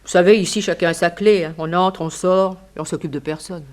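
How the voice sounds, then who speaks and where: Woman playing chatty landlady, clean crisp voice, colloquial, offhand, radio detective serial. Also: on the low side, fairly even intonation, a little fast, close to an everyday voice.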